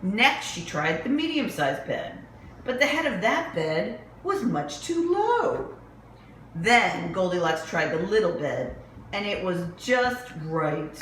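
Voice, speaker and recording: A woman's voice: mid-range voice, big pitch swings, slow, fairly bright tone. A woman reading a picture book aloud to young children as a storyteller, with dramatic, emphatic phrasing. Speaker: children's librarian reading stories. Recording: roomy echo with faint background noise.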